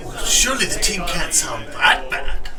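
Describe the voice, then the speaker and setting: A man's voice: mid-range voice, sing-song, normal speed, recorded from a distance, over a noisy crowd, lightly acted. A man playing a timid, flustered disgraced sea captain in a fantasy audio drama, hesitant and stammering. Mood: puzzled, worried.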